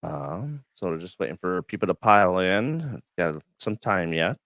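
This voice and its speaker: Deep husky guy hosting a laid back stream, fluey froggy voice, dry close mic. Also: measured pace, no room sound. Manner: relaxed, rambling, many fillers. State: hoarse from the flu. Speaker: host. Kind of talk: casual livestream chat. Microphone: studio microphone.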